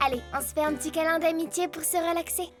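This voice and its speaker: Very high-pitched bossy little girl. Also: fairly even intonation, fast talker, over music. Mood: tender. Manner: firm, scolding, childlike. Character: bossy, caring, organised young schoolgirl. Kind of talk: French cartoon dubbing.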